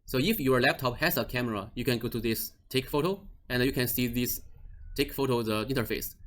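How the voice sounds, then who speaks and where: A man's voice: mid-range voice, a little fast. A man explaining a device setup step by step in a screen-recorded tutorial, with frequent restarts and slightly non-native phrasing. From Australia or New Zealand region office. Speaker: product trainer.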